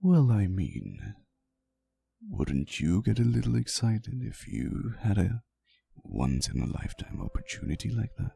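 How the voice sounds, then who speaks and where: A man playing an ancient vampire boyfriend in an intimate ASMR roleplay, soothing and unhurried, gently teasing and fond as he tells stories. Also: lowish voice, big pitch swings, creaky, breathy edge, no echo, exaggerated voice. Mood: storytelling, amused.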